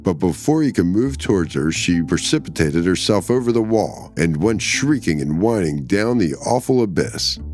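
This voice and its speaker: A man with a low voice hosts a short story podcast. He speaks as a storyteller, reading and remarking on classic fiction. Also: big pitch swings, moderate pace, hardly any pauses, a little mellow, soft background music. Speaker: story podcast narrator and host. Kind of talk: scripted story narration with casual commentary.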